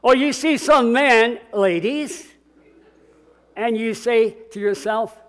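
An older man delivers a sermon in church with a booming, emphatic voice. His pitch sits noticeably high for a man, and he slows down to press home key words. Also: fairly bright tone. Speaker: pastor.

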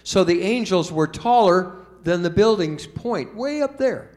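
A folksy man in his seventies tells a story to a group of children, stopping to quiz them along the way. His voice sits a touch higher than most men's, and his telling is loose and chatty rather than scripted. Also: lively intonation, measured pace, a little mellow. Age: 70s. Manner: folksy, asks the children questions. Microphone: told from memory without notes.

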